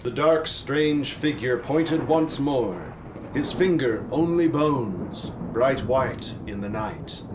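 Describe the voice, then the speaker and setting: A man's voice: mid-range voice, expressive, takes it slowly, background noise. A man playing the solemn narrator of a spooky Christmas radio play, reading scripted dramatic narration. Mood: solemn storytelling.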